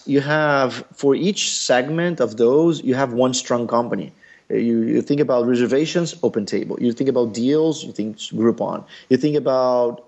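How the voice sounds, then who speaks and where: Brazilian man explaining in halting English. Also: medium pitch, a little mellow, lo-fi sound. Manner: thoughtful, many pauses and fillers. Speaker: startup founder. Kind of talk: business interview.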